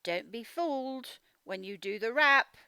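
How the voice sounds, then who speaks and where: Bright, animated high-voiced woman teaching children. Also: unhurried, clean tone, dry recording. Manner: animated, instructional, emphatic, sounds out words. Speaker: teacher reading a children's phonics story. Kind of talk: children's read-aloud lesson, explaining directly to young learners.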